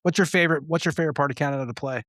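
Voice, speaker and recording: A man's voice: a little high, very fast, a bit bright, no room sound, clean studio sound. A man hosting a casual music interview, asking informal, rambling questions. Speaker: podcast host interviewing a band.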